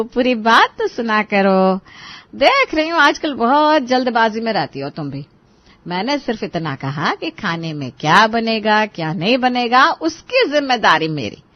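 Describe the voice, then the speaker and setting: A woman's voice: fairly high pitch, no echo, dull audio. A woman playing a bossy, stern older Indian mother-in-law in a cartoon family drama, scolding and giving emphatic orders.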